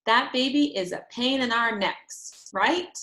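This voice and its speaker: A woman's voice: fairly high pitch, takes it slowly, sharp tone, echoey room. A woman voicing an outspoken, anxious first-grade girl with blunt, emphatic childish phrasing, in a storybook reading. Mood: blunt, nervous.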